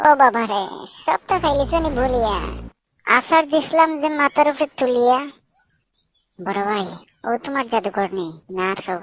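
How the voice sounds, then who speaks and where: A woman's voice: fairly high pitch, normal speed, pauses often, slight background noise, lightly acted. A woman playing a cheeky, combative cartoon character with colloquial speech and a Sylheti accent. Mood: teasing.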